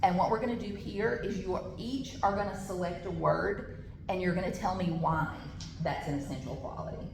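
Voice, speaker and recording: A woman with a loud voice leading a classroom training, chatty, with personal asides and frequent restarts. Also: fairly low pitch, normal speed, gravelly. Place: large training room with tables. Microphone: camera on the table. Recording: echoey room, background noise.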